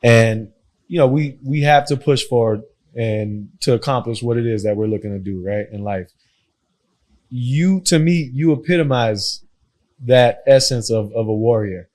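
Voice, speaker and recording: A man's voice: medium pitch, a little slow, a little mellow. A man who grew up between Haiti and Miami, hosting a podcast interview in a casual, affirming way and often repeating his phrases. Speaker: podcast host.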